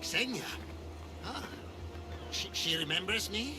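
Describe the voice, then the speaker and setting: Shifty, scornful game swindler, strikingly high and excitable, over loud score. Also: male voice, takes it slowly, over music. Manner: sneering, excitable. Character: small-time male swindler, scornful, defiant, cowardly when hurt. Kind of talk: video game cutscene acting.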